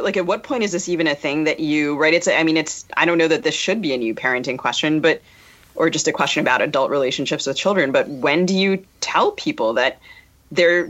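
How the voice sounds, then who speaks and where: A woman co-hosting a podcast interview, feeling her way through questions in a halting, tentative, thoughtful manner. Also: low voice, fairly bright tone, muffled recording. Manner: halting, tentative, thinks aloud. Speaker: podcast co-host.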